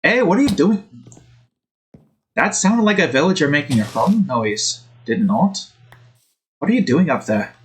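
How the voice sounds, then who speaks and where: A man's voice: on the high side, very animated, moderate pace, long pauses. A man hosting a game video with casual, rambling commentary in a put-on Scottish accent that wavers. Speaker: gaming video host. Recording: faint background noise.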